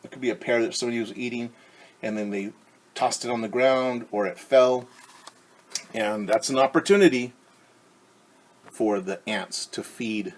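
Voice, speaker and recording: A man teaching young children, reading a picture book aloud and gently asking them questions. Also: medium pitch, normal speed, long pauses. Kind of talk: children's story time read-aloud.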